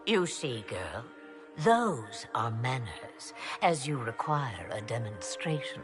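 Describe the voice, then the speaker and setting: Slow, swooping, imperious old witch. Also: rumbling low, very animated, over music, heavily acted. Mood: haughty. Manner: theatrical, drawling, archly amused. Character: sly, imperious ancient witch, mocking old grandmother. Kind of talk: dramatic video game cutscene acting.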